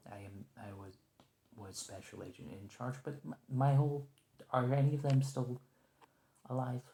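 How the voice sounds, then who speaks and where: A man playing a nervous, conciliatory special agent held captive, speaking hesitantly and softly in an ASMR roleplay with an echoing lo-fi sound. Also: mid-range voice, fairly even intonation, gravelly, slight background noise, lightly acted. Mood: apologetic, explaining. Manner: hesitant, halting, stammering, soft-spoken. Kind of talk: ASMR roleplay, one-sided point-of-view dialogue. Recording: roomy lo-fi echo.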